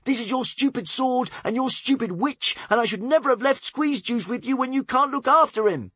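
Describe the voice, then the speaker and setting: A man's voice: extremely high pitch, normal speed, bright, forceful tone, slightly rough, no echo, voice pushed up, heavily acted. A man voicing a proud, distraught young wizard boy in a storybook, impassioned and defiant. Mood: raging.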